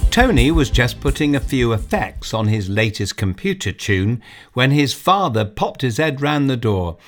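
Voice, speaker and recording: A man reading a children's story aloud, narrating in an expressive, measured way. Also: mid-range voice, soft background music.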